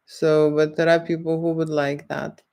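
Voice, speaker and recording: A woman's voice: low-pitched, level tone, vocal fry, mellow. A woman with a Lithuanian accent, a photographer answering street interview questions and often checking in with "okay?". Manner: deliberate, keeps checking in with "okay?". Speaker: erotic photographer.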